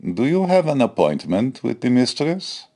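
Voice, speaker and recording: A man's voice: mid-range voice, very animated, normal speed, warm tone. An actor playing a hulking, obedient henchman and former prize fighter, formal and menacing, in a comedy audio drama. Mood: stiffly formal. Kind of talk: comedy audio drama in old radio manner.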